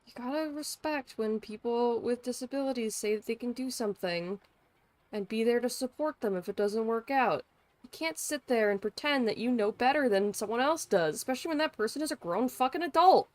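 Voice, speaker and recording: A woman's voice: a little high, lively intonation, a little fast, a little mellow, no room sound. A woman giving casual, sweary, blunt and joking live commentary as a game streamer.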